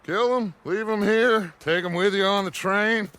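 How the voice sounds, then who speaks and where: An actor playing a middle-aged American outlaw gang leader in a video game, commanding and theatrical, with a rousing, oratorical way of speaking. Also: male voice, high-pitched, measured pace, fairly bright tone. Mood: brisk, in command. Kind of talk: video game cutscene acting.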